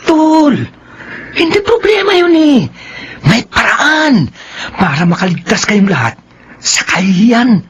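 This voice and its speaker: Very high-pitched coaxing Filipino buddy. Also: male voice, slow, pauses often, husky, heavily acted. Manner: animated, colloquial, coaxing. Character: slick, persuasive young male buddy pushing bad advice. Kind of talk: Filipino radio drama acting.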